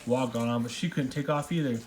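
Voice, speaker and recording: A man's voice: medium pitch, fairly quick, darkish tone. A man reading a children's storybook aloud as a parent at bedtime. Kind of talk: storybook read-aloud narration.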